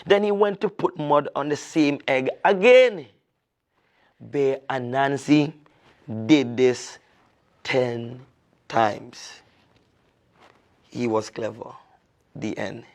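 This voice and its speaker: A man speaking as a reading ambassador in an expressive children's storytelling style, deliberate, with dramatic pauses. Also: mid-range voice.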